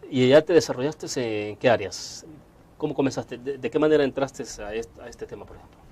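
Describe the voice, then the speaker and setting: A man's voice: mid-range voice, fairly quick, a little mellow, breathy edge. A man, hosting an interview in a conversational, roundabout way. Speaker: interview host.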